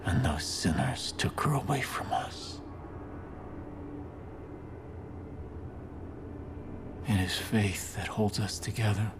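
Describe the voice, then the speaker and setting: An actor playing a solemn, deliberate cult leader preaching with quiet menace in a video game. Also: male voice, sits low, noisy background, natural voice. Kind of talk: slow sermon-like monologue.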